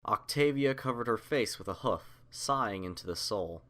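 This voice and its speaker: A man's voice: on the low side, expressive, normal speed, fairly bright tone. A man reading scripted fiction aloud as the narrator of a story.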